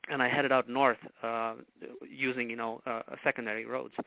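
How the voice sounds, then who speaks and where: A man in his forties, from Romania, recounting a personal story as an interview guest, halting and full of filler words. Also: medium pitch, little pitch movement, sharp tone, dry recording, poor audio. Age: 40s.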